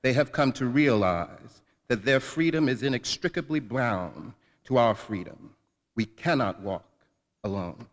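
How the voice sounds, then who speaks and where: A man delivers a formal, dramatic speech reading. His voice sits at a medium pitch and echoes through a large hall. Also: expressive, a little slow. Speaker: guest reader at a commemorative event. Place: large indoor hall at a college event. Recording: reverberant hall, long echo.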